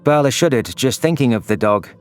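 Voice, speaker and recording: Male narrator, medium pitch, scripted dramatic sci-fi storytelling with music underneath. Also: expressive, a little fast. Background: background music.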